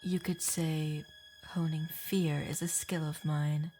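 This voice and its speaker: A woman's voice: sits low, little pitch movement, slow pace, dark tone, slight background noise. A woman playing a cold, ominous shadow mage with a formal, stern, menacing way of talking in a fantasy audio drama.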